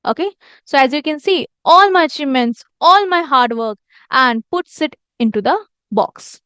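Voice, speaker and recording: A woman's voice: high voice, expressive, normal speed, fairly bright tone, dry recording. A woman giving an instructional lesson as a communication teacher, explaining emphatically and repeating phrases for stress. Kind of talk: instructional lesson with demonstrated lines.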